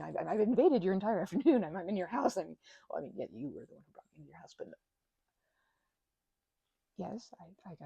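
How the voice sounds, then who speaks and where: Woman doing a shy flustered vampire girl, stutters a lot, breathy and creaky, super close dry audio roleplay. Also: lowish voice, warm tone, no room sound, dull audio, exaggerated voice. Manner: stammering, flustered, nervous giggles, trailing into breathy murmurs. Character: timid, grateful, nervously rambling female vampire. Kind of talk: intimate audio roleplay to the listener.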